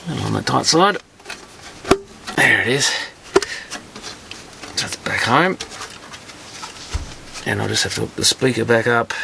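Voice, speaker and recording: A man giving casual hands-on repair commentary, muttering and thinking aloud as he works. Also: on the low side. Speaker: electronics repair hobbyist presenting a teardown. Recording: clear background noise with clicks.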